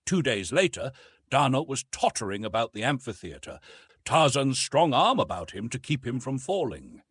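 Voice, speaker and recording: A man's voice: medium pitch, big pitch swings, normal speed, fairly bright tone, husky, no echo. A man reading an adventure novel aloud as a scripted audiobook narration.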